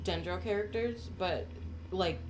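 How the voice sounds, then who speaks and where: A woman's voice: lowish voice, a little slow, rough recording. A woman chatting casually as she live-streams a game. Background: game music.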